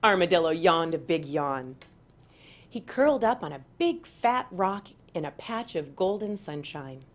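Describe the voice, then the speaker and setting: Slow, expressive woman storyteller. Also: on the low side. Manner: deliberate, dramatic emphasis. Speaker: parent and school board member reading aloud.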